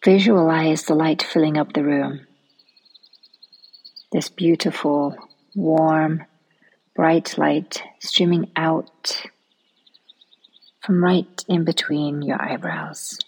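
Slow, low, breathy woman guiding meditation. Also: fairly even intonation, rough recording. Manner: soft, breathy, soothing delivery trailing into near-whisper. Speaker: meditation guide. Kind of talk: guided relaxation meditation.

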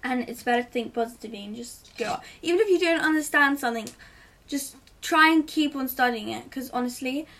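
A woman's voice: sits high, a little fast, fairly bright tone, far from the mic. Giggly teen girl, a bit off-mic. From Italy. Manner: chatty, giggly, rambling advice. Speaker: guest. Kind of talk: casual chat.

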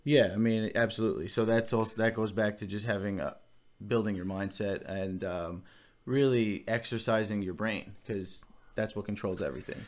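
Agreeable nasal young man, lowish voice. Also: steady tone, moderate pace. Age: young adult. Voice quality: a little nasal. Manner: agreeable, chimes in with "absolutely". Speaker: podcast co-host, store manager. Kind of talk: casual podcast chat.

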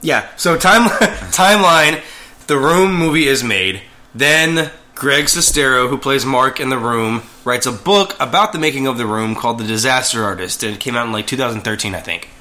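A man's voice: medium pitch, animated, normal speed, sharp tone. A man co-hosting a casual movie chat, rambling with lots of fillers and restarts. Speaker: podcast co-host.

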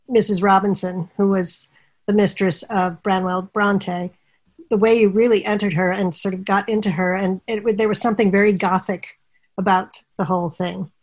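A woman hosts a podcast and interviews a guest author. She speaks with enthusiasm, welcoming her guest and leading the talk. Her voice sits at a middle pitch. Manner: enthusiastic, warm welcoming host. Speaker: podcast host.

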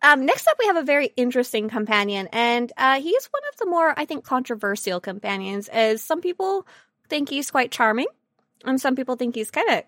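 A woman with a very high voice chats casually as a podcast co-host. She is silly and animated, with a teasing streak. The recording is dry, with no room sound. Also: no echo. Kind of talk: casual chat about game characters.

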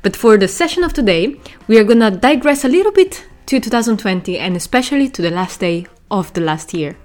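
A woman's voice: medium pitch, expressive, a little fast, darkish tone. Woman hosting an interview podcast. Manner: scripted introduction, then friendly questions with fillers. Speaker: podcast host. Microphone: video call.

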